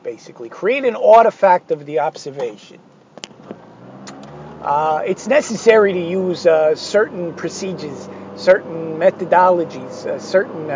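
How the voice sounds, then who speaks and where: A man with a hoarse, failing voice talking through an art lesson, digressive and hesitant with many fillers and sardonic asides. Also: fairly high pitch, highs cut off, background noise. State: hoarse, losing his voice. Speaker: art instructor. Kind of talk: rambling spoken art lesson.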